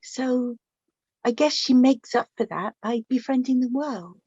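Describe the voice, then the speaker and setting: A woman playing a middle-aged mother of the bride in a radio play, fond, gently prying and rambling. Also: sits high, a little slow, husky. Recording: echoey room, dull lo-fi sound.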